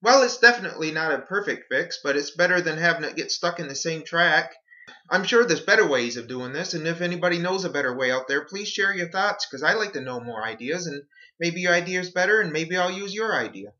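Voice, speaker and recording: A man giving a casual how-to narration in an unhurried, folksy way, talking through each step as a hobbyist. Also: fairly high pitch, animated, pushed and bright. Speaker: hobbyist demonstrating a repair. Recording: dull, lo-fi home recording.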